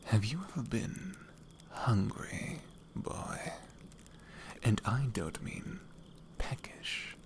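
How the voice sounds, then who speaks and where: A man playing a hardened, menacing killer in a fantasy ASMR audio roleplay, speaking in a cold, deliberate, gravelly near-whisper. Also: medium pitch, expressive, full character voice. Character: menacing, hardened male killer who has known starvation.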